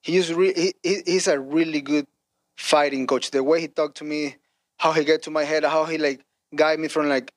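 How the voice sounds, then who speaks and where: A man, a fighter, talking haltingly about his coach in an interview clip. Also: a little high, steady tone, no room sound. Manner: halting, nonstandard grammar.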